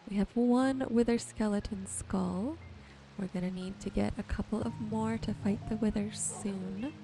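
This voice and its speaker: A woman softly and gently narrating her gameplay in an ASMR style, musing to herself as she goes. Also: mid-range voice. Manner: soft-spoken, gentle musing to herself while sorting. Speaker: gaming video host.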